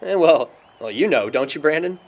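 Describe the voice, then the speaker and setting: A man's voice: a little high, animated, normal speed. A young American man acting a sombre friend telling stories in conversational film dialogue. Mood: reflective. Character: sombre young friend recounting a classmate's tragic downfall.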